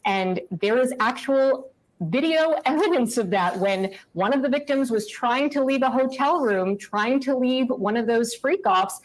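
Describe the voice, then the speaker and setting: A woman and former prosecutor explaining a criminal case in a measured, authoritative way on a TV news panel. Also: a little high, lively intonation, rough recording. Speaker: former sex crimes prosecutor, legal analyst.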